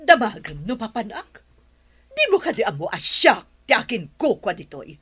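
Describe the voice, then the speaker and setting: A woman's voice: a little high, sing-song, normal speed, fairly bright tone, slightly rough, heavily acted. A woman playing a haughty, demanding older mother of the boss in an audio drama, curt and scolding. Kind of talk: Filipino audio drama acting.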